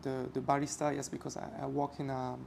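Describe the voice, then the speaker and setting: A young man from France with a French accent, chatting casually as a podcast guest and often pausing to search for English words. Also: medium pitch, monotone, vocal fry, a little mellow, a little hiss or hum. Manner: halting, searches for English words with many fillers.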